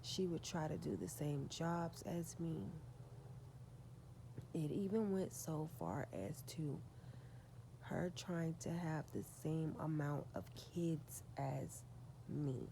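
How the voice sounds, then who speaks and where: Soft-voiced woman with a gravelly low tone. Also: steady tone, slight background noise, poor audio. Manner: slow, confiding, drifting into whispers. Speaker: vlogger. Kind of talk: spiritual storytime monologue.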